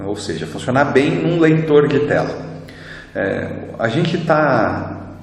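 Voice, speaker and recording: A man's voice: medium pitch, big pitch swings, takes it slowly, vocal fry. A man with a Brazilian accent gives an informal explanatory lecture as an instructor, in a conversational way with frequent tag questions. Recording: very echoey reverberant room, background noise.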